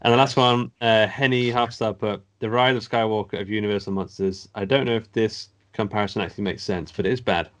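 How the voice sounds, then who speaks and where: A man's voice: on the low side, fairly even intonation, brisk, hardly any pauses, fairly bright tone. A man co-hosting a podcast, reading out reviews with a wry, laughing delivery. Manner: wry, laughing at what he reads. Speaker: podcast co-host.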